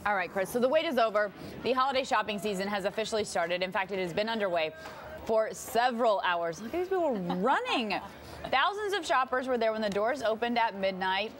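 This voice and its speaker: An American woman anchors a morning newscast at a medium pitch. She mixes news reading with light banter, and there is noise behind her voice. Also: animated, fairly quick.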